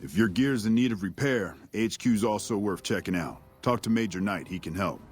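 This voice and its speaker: Deep-voiced, weary game soldier. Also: male voice, animated, moderate pace, breathy edge. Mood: weary, dry. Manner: terse, dry, jaded. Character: weary, cynical male soldier guarding a desert outpost. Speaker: army sergeant character. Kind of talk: video game dialogue. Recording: music behind the voice.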